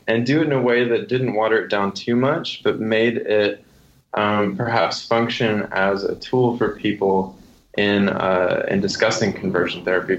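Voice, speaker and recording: A man speaking as a guest author in an interview, answering conversationally in a thoughtful way with hesitant pauses. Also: on the low side. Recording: dull lo-fi sound.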